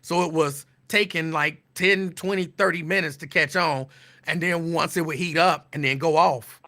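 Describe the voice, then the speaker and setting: Bright-voiced man chatting casually. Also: on the high side. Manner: relaxed, rambling, drawn-out repeats. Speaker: show host. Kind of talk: casual live-stream chat.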